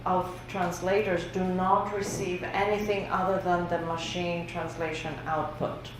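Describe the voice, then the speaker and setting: Lower-voiced woman lecturing in an echoey room. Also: little pitch movement, measured pace. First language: Greek. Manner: conversational, many fillers, laughs lightly. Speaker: workshop lecturer. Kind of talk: lecture presenting survey results. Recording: very echoey room with faint noise.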